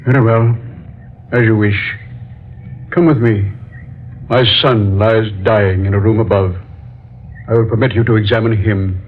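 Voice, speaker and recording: A man's voice: on the low side, steady tone, measured pace, pauses often, a little hiss or hum, natural voice. A man playing a weary old king, tinny radio. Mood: stately. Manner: stately, resigned. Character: weary elderly king, ruler of a world. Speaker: radio drama actor. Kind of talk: 1940s radio acting.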